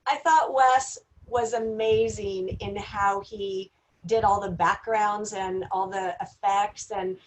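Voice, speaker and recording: A woman's voice: mid-range voice, fairly even intonation, slow pace, low-quality recording. A woman chatting casually as an actress on an online panel. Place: indoors, online video seminar.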